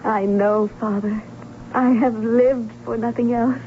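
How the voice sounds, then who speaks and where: An actress playing a romantic, dutiful young bride-to-be in an old radio drama, formal and earnest. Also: female voice, on the high side, fairly even intonation, slow pace, a little hiss or hum. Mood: earnest, eager. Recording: dull old radio recording, music behind.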